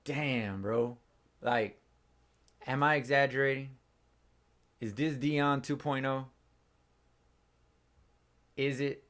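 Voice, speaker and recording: An excitable young man with a hoarse, worn-out voice from a day of screaming. He talks in loose, slangy bursts, his pitch sitting in an ordinary middle range. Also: takes it slowly, pauses often. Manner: excitable, slangy, says bro a lot. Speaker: fan channel host. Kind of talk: casual post-game reaction.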